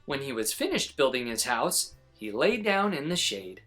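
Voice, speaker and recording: A woman reading a children's picture book aloud as an expressive storyteller. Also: a bass voice, moderate pace, soft background music.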